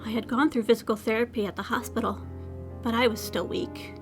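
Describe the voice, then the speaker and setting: A defiant young woman acted by a woman with a higher-pitched voice. She is sardonic and weary, with raw emotion under the sarcasm. It is a scripted audio drama, with music clearly playing behind her. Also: fairly even intonation, a little fast, natural voice. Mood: reflective narration. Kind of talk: scripted audio drama acting with first-person narration.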